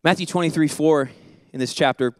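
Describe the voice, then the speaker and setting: A man in his thirties preaching a sermon on a church stage into a handheld mic, animated and joking, then dropping to soft earnest tones. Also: on the high side, fairly quick, smooth, no echo, studio quality. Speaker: pastor.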